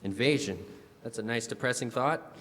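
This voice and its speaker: A man's voice: medium pitch, normal speed, a bit bright, close to an everyday voice. A man acting a suburban husband and father in a classic radio drama style, theatrical and demanding. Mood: breezy, affable. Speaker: actor in a live stage radio play. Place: on stage in a school hall. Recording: echoey stage hall, music behind.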